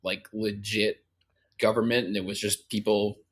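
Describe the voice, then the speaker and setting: A man's voice: fairly low pitch, level tone, moderate pace, breathy edge. A man chatting casually as a podcast guest in a loose, informal, joking way.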